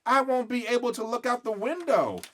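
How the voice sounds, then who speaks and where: A man's voice: extremely high pitch, animated, moderate pace, a bit bright, voice pushed up. A man voicing an indignant, worried young girl in a children's storybook, with pouty, emphatic questioning.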